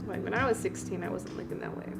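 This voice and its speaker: A woman in her late thirties hosts a casual livestream with clear music playing behind her. Her voice sits at an average pitch for a woman. She talks in an informal, rambling way, peppered with filler "like" and exclamations as she responds to viewers. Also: huge pitch swings, fast talker. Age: late 30s. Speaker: livestream host.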